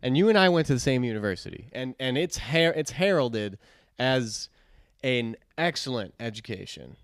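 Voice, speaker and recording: Smooth-voiced young man, dry close sound. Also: mid-range voice, lively intonation, moderate pace, dry recording. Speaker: podcast co-host. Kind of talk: casual conversational podcast chat.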